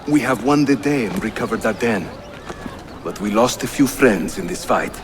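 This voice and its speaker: A man's voice: medium pitch, animated, moderate pace, noisy background, close to an everyday voice. A man playing a friendly, practical assassin leader in a historical video game, speaking courteously. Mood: businesslike, friendly. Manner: courteous, businesslike. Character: affable, practical male assassin leader in Ottoman Constantinople.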